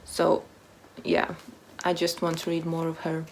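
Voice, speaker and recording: Slow-talking woman, casual and hesitant. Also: low-pitched, monotone, a little hiss or hum, low-quality recording. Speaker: book vlogger. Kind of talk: casual chat to camera, answering a book tag.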